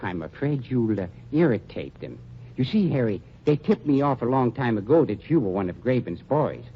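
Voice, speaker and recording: An actor playing a sly, urbane undertaker in a 1940s radio drama, speaking politely and precisely with dry irony. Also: male voice, mid-range voice, sing-song, moderate pace, slightly rough. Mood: wry, amused. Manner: polite, dryly ironic, precise, mock-regretful. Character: urbane, sly male undertaker double-crossing gangsters. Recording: muffled, noisy 1940s radio recording.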